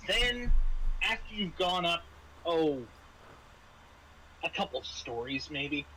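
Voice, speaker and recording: A man's voice: on the high side, sing-song, takes it slowly, sharp tone, a little hiss or hum, low-quality recording. A man narrating a tabletop horror game as game master, in a conversational, descriptive storytelling way.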